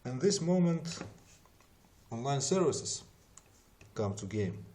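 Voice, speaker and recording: A man who is not a native English speaker narrates an explanatory software tutorial in a methodical, step-by-step way. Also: mid-range voice, very animated, takes it slowly.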